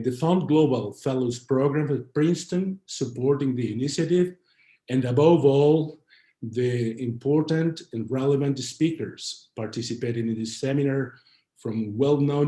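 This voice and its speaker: A man gives a formal welcome address as a seminar organiser. His voice is mellow and relaxed, and he goes haltingly from phrase to phrase. The room echoes clearly around him. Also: mid-range voice.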